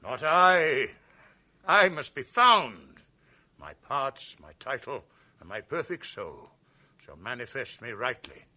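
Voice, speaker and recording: An actor playing a proud noble general in a radio drama, speaking verse in a measured, commanding way. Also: male voice, mid-range voice, lively intonation, pushed and bright, heavily acted. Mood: composed. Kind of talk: 1980s radio drama acting.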